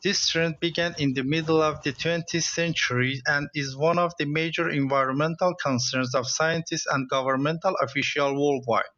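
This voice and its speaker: Guy reading a script, sharp bright voice, dry lofi sound. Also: a little high, steady tone, moderate pace, hardly any pauses, dry recording, muffled recording. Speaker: exam passage reader. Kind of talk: scripted read-aloud narration.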